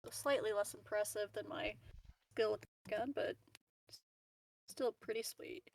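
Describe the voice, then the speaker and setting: A woman playing a tabletop game, playful, with quick, short replies. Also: mid-range voice, steady tone, creaky, distant mic. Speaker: player. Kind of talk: casual tabletop chat.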